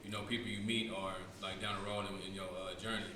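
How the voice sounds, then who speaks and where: A man in his late twenties from San Francisco, hosting a casual podcast in a relaxed, slangy way with lots of fillers. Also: fairly low pitch, quick pace. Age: 20s. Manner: laid-back slangy talk with many fillers. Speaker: podcast host. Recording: echoey room with noise.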